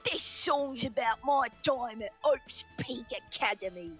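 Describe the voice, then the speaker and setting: Woman playing a slow, high, shy young songwriter. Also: lively intonation, soft background music. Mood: shy, hopeful. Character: shy, earnest young songwriter, slightly awkward. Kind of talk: fan fiction audio roleplay.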